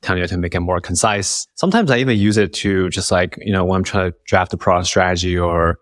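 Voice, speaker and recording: A man's voice: low voice, quick pace, no room sound, clean studio sound. A man hosting a podcast in a casual, conversational way, thinking aloud as he talks. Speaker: podcast host, interviewer. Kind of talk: casual chat.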